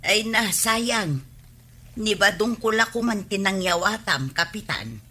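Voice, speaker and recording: A nosy, gossipy Ilocano village woman in a radio comedy. Her voice is bright and forward, at a middling female pitch. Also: lively intonation, a little slow. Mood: coaxing. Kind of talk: comedy radio drama acting.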